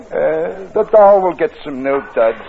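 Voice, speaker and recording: An actor playing a reluctant, grudging numbers racketeer in a 1940s radio crime drama. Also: male voice, a little high, animated, unhurried, creaky, narrow, tinny audio, heavy background noise. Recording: band-limited old radio recording, loud crowd.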